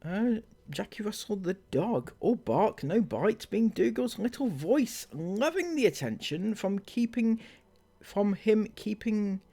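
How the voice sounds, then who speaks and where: A man's voice: sits high, very animated, gravelly, warm tone. A man hosting a commentary channel, reading viewer comments aloud and mocking them, with frequent laughs and sarcasm. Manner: sarcastic, laughs often, drawn-out delivery.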